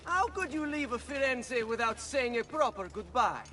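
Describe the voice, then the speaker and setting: A man's voice: extremely high pitch, very animated, moderate pace, pushed and bright, full character voice. An actor playing an arrogant young nobleman villain in a video game, taunting. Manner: taunting, sneering.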